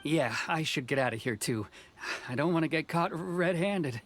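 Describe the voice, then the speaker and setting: A man's voice: on the high side, expressive, moderate pace, a bit bright, slight background noise. A man voicing a modest, easygoing male boatbuilder in a video game. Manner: humble, easygoing, nostalgic. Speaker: game character. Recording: game audio with music behind.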